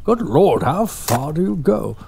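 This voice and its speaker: An actor playing an excitable, pompous older scholar in an audio drama, speaking in a theatrical, drawn-out way. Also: male voice, on the high side, vocal fry, a little hiss or hum, heavily acted. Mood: grumbling, puzzled.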